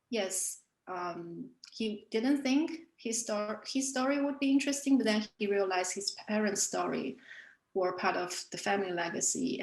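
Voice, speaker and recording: A woman's voice: lowish voice, gravelly, echoey room, poor audio. A woman whose first language is Chinese, an artist talking thoughtfully about her book in an online panel, with many fillers and restarts. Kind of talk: reflective online panel talk.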